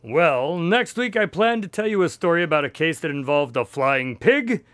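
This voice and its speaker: An actor as a higher-voiced, inquisitive sidekick man. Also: animated, normal speed, a bit bright, dry recording, clean studio sound. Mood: pleased, storytelling. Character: inquisitive, affable male sidekick and storyteller. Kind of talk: radio drama acting.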